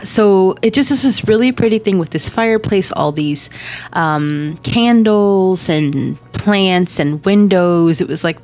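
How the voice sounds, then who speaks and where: A woman in her thirties, hoarse from a cold, chatting casually in a rambling way with lots of ums and little laughs as she narrates a game build. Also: low-pitched. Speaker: Sims speed-build creator. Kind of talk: casual voice-over chat over gameplay. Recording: soft background music.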